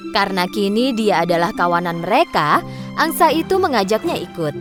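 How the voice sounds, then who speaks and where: A woman narrating a children's cartoon story in a lively, expressive way. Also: mid-range voice, normal speed. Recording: narration over loud background music.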